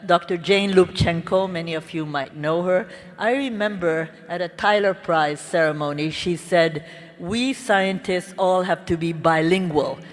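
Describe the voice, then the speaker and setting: Woman in a reverberant hall. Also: on the low side. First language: Hungarian. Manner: earnest, with halting restarts. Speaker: conference panelist. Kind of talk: conference speech, personal career story. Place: large conference hall. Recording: long reverb of a big hall.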